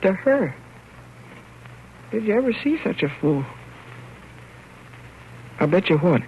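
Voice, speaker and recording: Rueful slangy working fellow, higher-pitched. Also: male voice, very animated, slow pace, a little hiss or hum, full character voice. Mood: rueful, self-mocking. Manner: slangy, plain-spoken, rueful. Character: lovesick, self-reproaching young working-class American fellow. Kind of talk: 1930s radio monologue acting. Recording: muffled old radio broadcast.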